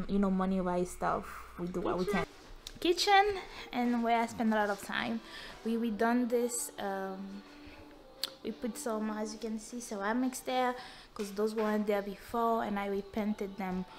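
A young woman from Montreal whose first language is French, casually narrating a tour of her apartment with many fillers and loose grammar. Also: medium pitch. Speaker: vlogger giving a home tour. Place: small apartment rooms indoors. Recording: loud background music.

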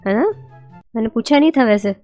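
A man's voice: very high-pitched, lively intonation, fairly quick, music in the background. A man using a voice changer to play a playful, attention-seeking young woman in casual game chat, teasing and repeating herself. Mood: teasing, needy. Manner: teasing, repetitive, pestering. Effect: voice changer making him sound female.

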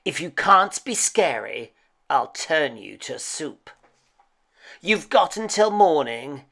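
A woman's voice: sits low, very animated, slow, vocal fry, a bit bright, voice pushed up, heavily acted. A woman voicing a wicked, short-tempered old witch who snaps and scolds in a storybook reading. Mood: threatening. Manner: snappish, scolding, screeching.

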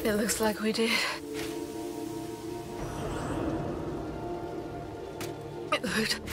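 A woman's voice: on the high side, little pitch movement, moderate pace, over loud music, close to an everyday voice. A woman playing a stubborn, determined young warrior in a video game, terse and weary in her delivery. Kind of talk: video game cutscene acting.

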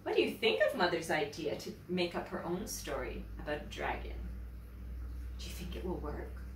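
A middle-aged woman reading aloud to children as a teacher, expressive, emphatic and deliberate. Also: deep voice. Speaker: teacher, storyteller. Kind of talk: animated children's read-aloud. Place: indoors, book-lined room. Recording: echoey room, distant mic, faint noise.

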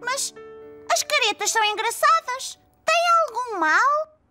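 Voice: extremely high pitch, lively intonation, measured pace, bright, forceful tone, soft background music, heavily acted. A performer voicing a cheerful, naive four-year-old boy with a European Portuguese accent in a children's cartoon dub. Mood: puzzled. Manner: childlike, eager, simple phrasing.

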